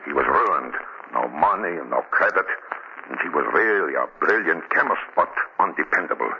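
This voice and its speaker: An actor playing a nervous, defensive, scornful chemist in 1940s radio drama, agitated and emphatic. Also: male voice, a bass voice, expressive, normal speed. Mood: defensive, dismissive. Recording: muffled, noisy 1940s radio recording.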